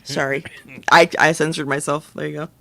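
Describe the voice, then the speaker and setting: A middle-aged man chats casually as a podcast co-host. His voice sits a little higher than most men's. The recording is dry and free of room sound. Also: level tone, moderate pace, creaky, a bit bright, dry recording. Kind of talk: casual chat.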